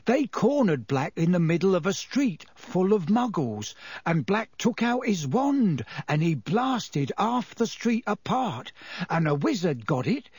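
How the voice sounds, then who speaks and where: Audiobook role: gossipy young working-class conductor played by a male reader, raised pitch, slightly husky, chatty and excitable. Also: no room sound, exaggerated voice. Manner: chatty, colloquial, dropped-grammar gossip with tag questions. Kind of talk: audiobook character voice.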